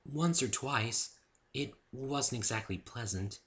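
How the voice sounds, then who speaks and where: A man voicing a shy, gentle young female winged pony, timid and hesitant, in a story reading. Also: medium pitch, takes it slowly, voice pushed up. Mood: mild regret. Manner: timid, hesitant, soft-spoken.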